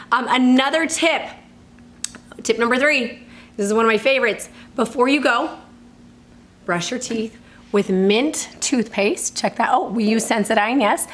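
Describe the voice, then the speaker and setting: A woman in her thirties, a fitness coach giving casual tips to the camera, upbeat and chatty, with a slightly hoarse voice from allergies. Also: on the high side, slight background noise. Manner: upbeat and chatty, with laughs and self-corrections.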